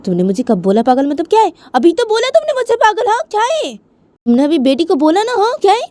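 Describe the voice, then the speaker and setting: A woman's voice: extremely high pitch, big pitch swings, brisk, a little mellow, no room sound, studio quality, voice pushed up. A woman voicing an angry, aggrieved dinosaur mother in a story, scolding with repeated, badgering questions. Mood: furious, indignant. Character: furious, aggrieved dinosaur mother, nagging and indignant.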